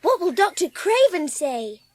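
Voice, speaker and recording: A woman's voice: very high voice, big pitch swings, a little slow, heavily acted. A woman playing a blunt, forthright young girl in a dramatised audiobook, with a frank, matter-of-fact manner. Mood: curious.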